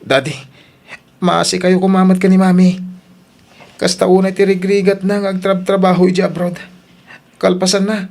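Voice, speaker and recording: Man acting earnest elder brother, even-toned. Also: sits high, moderate pace, gravelly, pauses often. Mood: grave, reproachful. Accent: Ilocano. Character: earnest, hurt elder brother confronting family troubles. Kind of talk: Ilocano radio-style drama acting.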